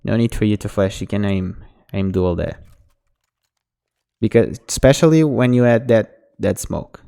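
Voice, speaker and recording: A man, a native Portuguese speaker, talks a player through their mistakes in a relaxed coaching tone. His voice sits low and sounds smooth and mellow. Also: a little slow. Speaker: game coach. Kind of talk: casual demo review commentary.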